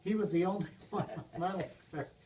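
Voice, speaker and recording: A man's voice: high voice, fast talker, mellow, slight background noise. An older man, a blacksmith, casually telling a rambling story to a small group, chuckling now and then. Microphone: handheld video camera. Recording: distant from the mic, roomy.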